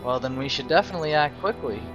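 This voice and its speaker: A man's voice: on the high side, animated, quick pace, muffled recording, over music, lightly acted. A man voicing a polite, hesitant young female mage recruit in a video game role play, tentative and deferential. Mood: doubtful.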